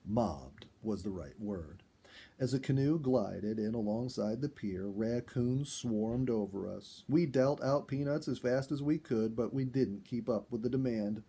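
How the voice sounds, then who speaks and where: A man reading a first-person animal story aloud in an expressive storyteller's style. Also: medium pitch, unhurried, breathy edge. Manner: expressive, acts out dialogue. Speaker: storyteller, reader.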